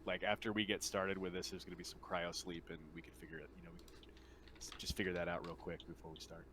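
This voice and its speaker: A man explaining tabletop game rules casually as game master, in a halting, hesitant way. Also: sits low, little pitch movement, bright, forceful tone, a little hiss or hum.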